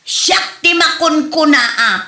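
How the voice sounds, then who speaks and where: A woman's voice: high voice, slow, bright, forceful tone, full character voice. A woman playing a sassy, demanding, argumentative passenger in a comedy drama, bossy and indignant. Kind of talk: comedy radio drama acting. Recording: roomy echo, music behind.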